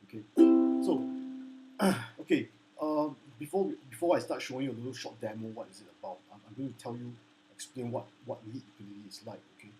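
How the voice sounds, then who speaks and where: A man's voice: brisk, music in the background, rough recording. A man teaching ukulele, speaking hesitantly with many restarts and "okay?" check-ins. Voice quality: low baritone voice. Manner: apologetic and earnest. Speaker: ukulele instructor. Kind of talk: casual spoken lesson with sung demos. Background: ukulele playing.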